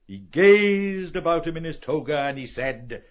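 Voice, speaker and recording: A man's voice: a little high, animated, highs cut off, pitched above the performer's own voice. Man doing a swooping, oratorical professor. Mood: scornful oratory. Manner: oratorical, deliberate, emphatic. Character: grandiloquent, scornful male professor.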